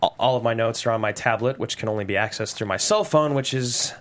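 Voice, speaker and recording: Guy acting awkward well-meaning dad in a family comedy, kinda halting and nerdy. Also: medium pitch, a little hiss or hum, natural voice. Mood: awkward, earnest. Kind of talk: comedy table read acting.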